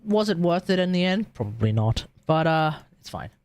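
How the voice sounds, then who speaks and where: Animated game streamer, rambling casually. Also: medium pitch, normal speed, no echo. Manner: rambling, talks to self and chat. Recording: game music behind the voice.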